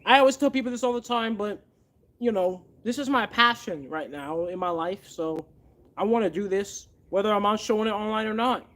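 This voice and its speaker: A man's voice: sits high, expressive, fairly quick, fairly bright tone. A man hosting a casual livestream, earnestly thanking donors by name and rallying viewers. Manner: fervent, rallying. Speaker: livestream host and machine builder.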